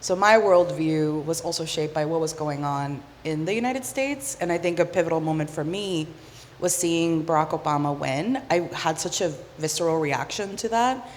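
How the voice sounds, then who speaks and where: A woman's voice: sits low, animated, moderate pace, long reverb tail, slight background noise. A woman from the Dominican Republic, a guest on a radio interview, talking earnestly with many "um"s. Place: community radio studio.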